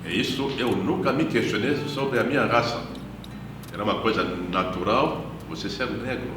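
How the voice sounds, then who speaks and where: Deep-voiced priest in an echoey room. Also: male voice. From Democratic Republic of the Congo. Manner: measured, reflective, unhurried storytelling. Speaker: missionary priest. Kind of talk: personal testimony in an interview. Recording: echoey room, distant mic, guitar music behind.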